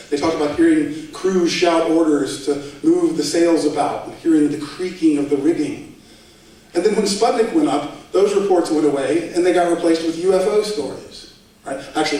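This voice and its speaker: A man with a fairly high voice lectures at a debate, talking in a conversational way and dropping dry asides. His voice comes from a distance and rings with heavy hall echo. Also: warm tone. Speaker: debate speaker. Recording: echoey hall, voice from far off.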